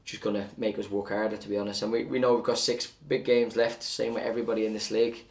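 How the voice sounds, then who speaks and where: Low, even-toned football coach. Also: male voice, fast talker, creaky, distant mic. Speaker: football coach interviewed after a match. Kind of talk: post-match interview.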